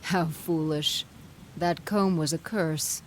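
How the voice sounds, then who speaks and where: Video game villain goddess, low female voice, haughty, scornful, measured theatrical delivery, condescending, noticeable background ambience. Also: fairly even intonation, a little hiss or hum. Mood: mocking disdain. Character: haughty, scornful goddess, coolly amused villain behind it all. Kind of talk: video game cutscene voice acting.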